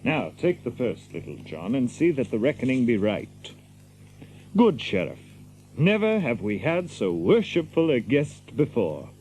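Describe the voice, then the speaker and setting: An actor playing a bold, jovial outlaw leader in an audio drama, teasing with theatrical mock courtesy in archaic English. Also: male voice, medium pitch, huge pitch swings, measured pace, a little mellow, a little hiss or hum, low-quality recording. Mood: wry triumph.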